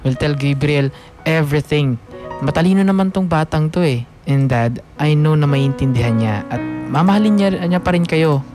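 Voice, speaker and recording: A man's voice: fairly high pitch, normal speed, close to an everyday voice. An actor with a Filipino accent playing an affectionate, reassuring young father in a radio drama. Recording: soft music under dialogue.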